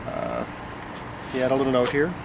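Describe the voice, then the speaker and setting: A man's voice: mid-range voice, wildly swooping pitch, normal speed, creaky. A man with a stuffed-up nose, chatting casually about music outdoors and rambling with many fillers. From Chicago area. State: congested, stuffed up. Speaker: record-collecting vlogger. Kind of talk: casual unscripted chat to camera. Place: outdoors on a summer afternoon. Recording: outdoor insect and traffic noise.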